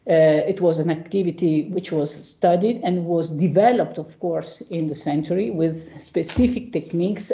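A woman with an Italian accent, a native Italian speaker, giving an academic presentation over a video call in a halting way, searching for words. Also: creaky, dark tone. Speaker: academic panelist, professor. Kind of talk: lecture presentation with slides. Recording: muffled low-bandwidth video call audio.

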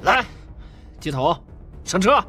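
A man's voice: high-pitched, very animated, slow, sharp tone, slightly rough, soft background music, heavily acted. A man playing a brash, commanding gangster in an audiobook drama, barking short orders. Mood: urgent, angry. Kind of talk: Mandarin audiobook drama acting.

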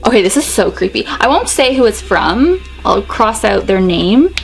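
A young woman in her twenties vlogging a chatty unboxing in a small bedroom, excitable and a bit hoarse as her voice fades. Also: mid-range voice, moderate pace, music in the background. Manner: excitable, chatty, gasps and laughs a lot. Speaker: YouTuber. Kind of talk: casual unboxing vlog. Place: small bedroom decorated with dolls.

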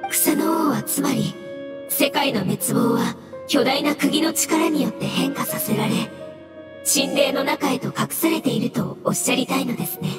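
Game role: woman playing cautious, formal fungus spirit, high measured voice in heavy echo over music. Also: close to an everyday voice. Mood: polite, concerned. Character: polite, cautious floating water fungus spirit. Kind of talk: video game character acting. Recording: echoing voice effect over music.

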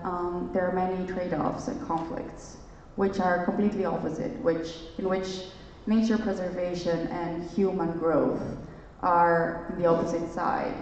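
A woman's voice: lowish voice, a little mellow, a little hiss or hum. A young woman from Greece speaking on a conference stage, earnest and searching for words, often restarting her phrases. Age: young adult. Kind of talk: onstage dialogue, reflective. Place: large hotel conference hall, on stage. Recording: distant, echoey hall, dull sound.

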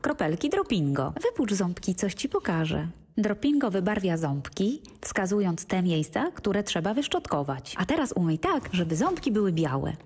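A woman playing a mother in an ad, talking gently to a child. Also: medium pitch, very animated, moderate pace, breathy edge. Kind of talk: scripted ad dialogue.

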